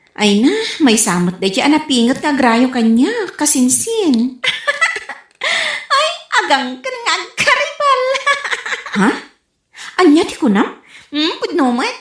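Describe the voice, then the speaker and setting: An actress playing a cheeky young niece in a comedic radio drama, giggly and teasing. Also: female voice, high-pitched, wildly swooping pitch, measured pace, pauses often, fairly bright tone, roomy sound, exaggerated voice. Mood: teasing, cheerful.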